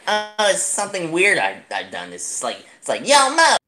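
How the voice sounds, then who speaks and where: A young adult man chatting off the cuff as an amateur voice actor, in a halting, self-interrupting way, recorded on a phone in a small room. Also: a little high, big pitch swings, sharp tone. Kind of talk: live voice acting practice, off-the-cuff chat. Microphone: phone at arm's length.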